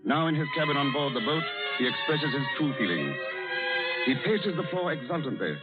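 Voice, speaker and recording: A man's voice: fairly high pitch, sing-song, a little fast. A man hosting and narrating a radio play in a formal 1930s announcing style. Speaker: host and narrator. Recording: tinny vintage radio, loud music behind.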